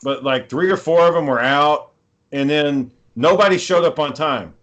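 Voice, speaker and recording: A man's voice: a little high, moderate pace, a bit bright, muffled recording. Guy co-hosting a podcast, just chatting and telling stories, blunt and sweary. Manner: profane, blunt. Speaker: podcast co-host. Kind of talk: casual chat, telling stories.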